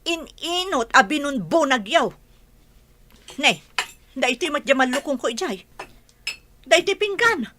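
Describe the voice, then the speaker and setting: A woman's voice: high-pitched, expressive, measured pace, pauses often, pushed and bright, a little hiss or hum, full character voice. A woman playing an indignant married older sister and farm owner, with an Ilocano accent, in a comedy radio drama.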